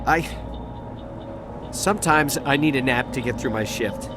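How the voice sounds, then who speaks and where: An actor playing a jittery, cowardly dock worker who stammers and gets defensive, with game music behind him. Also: male voice, mid-range voice, unhurried, lightly acted. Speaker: survivor witness. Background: game score music.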